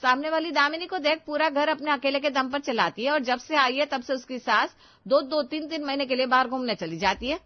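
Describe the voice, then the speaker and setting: A woman's voice: high voice, brisk, no room sound, dull audio, voice pushed up, close to an everyday voice. A woman voicing a domineering middle-aged Indian mother-in-law, exasperated and dramatic in a family story. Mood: scornful.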